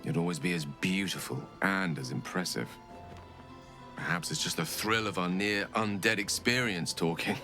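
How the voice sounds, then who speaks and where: A man's voice: low voice, moderate pace, lightly acted. An actor playing an eloquent, learned male wizard in a video game, speaking in articulate, tender, formal phrasing. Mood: flirtatious. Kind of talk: game voice acting. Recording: game audio with soft music behind.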